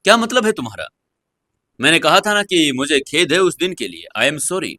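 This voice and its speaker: A man playing a restrained, conciliatory CEO husband in an audio story, with an earnest, measured manner. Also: a little high, big pitch swings, pushed and bright, no echo, voice pushed up, exaggerated voice. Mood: bitter, apologetic. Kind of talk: Hindi audio story dialogue.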